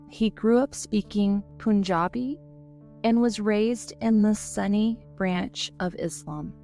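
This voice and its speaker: A woman narrates a true-crime story at an unhurried, deliberate pace. Her voice carries a noticeable gravelly fry. Soft music plays quietly beneath her. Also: medium pitch. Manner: slow, measured, somber reading. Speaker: podcast co-host.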